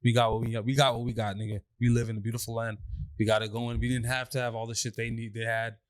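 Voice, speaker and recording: A young man co-hosting a podcast, chatting casually and telling lively stories, often jumping into high mocking voices. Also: fast, no room sound. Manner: animated storyteller, swings into high mock voices. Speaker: podcast co-host. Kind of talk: casual chat.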